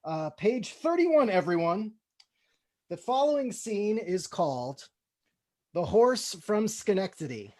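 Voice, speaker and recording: A man's voice: sits high, sing-song, slow, darkish tone. A man hosting a comedy table read, switching between casual chat and scripted stage-direction narration.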